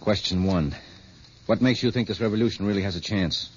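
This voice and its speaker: A man playing a skeptical reporter in a vintage radio play, rather low-voiced and quick. He is casual, blunt and probing, heard on a dull old recording with faint hiss. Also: close to an everyday voice. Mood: brisk, probing. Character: brisk, skeptical American male journalist. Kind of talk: 1950s radio drama acting.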